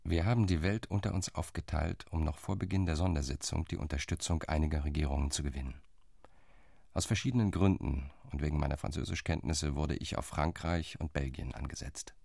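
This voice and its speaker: A man's voice: very deep voice, a little fast, gravelly, a little mellow, no echo, clean studio sound, heavily acted. An actor playing a shrewd, ironic male political envoy in a radio play, reporting his lobbying rounds.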